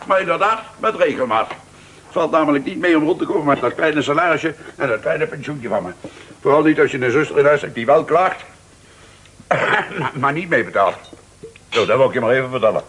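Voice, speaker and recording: A man's voice: a little high, a bit bright, slight background noise. An actor playing an aggrieved old pensioned sergeant and father in a Dutch radio play, blustering and stumbling over his words. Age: older. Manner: blustering, halting with many hesitations.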